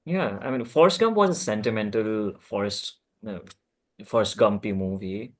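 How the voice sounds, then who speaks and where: A man chatting casually about films in a group, halting and often repeating his words. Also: fairly low pitch. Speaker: table read participant.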